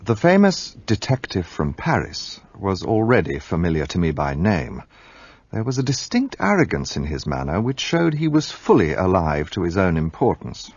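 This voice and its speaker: A man's voice: low voice, huge pitch swings, normal speed, a little mellow, breathy edge, no echo, highs cut off, exaggerated voice. An actor voicing an observant Englishman who narrates the story in past tense. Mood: narrating. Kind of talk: radio drama narration.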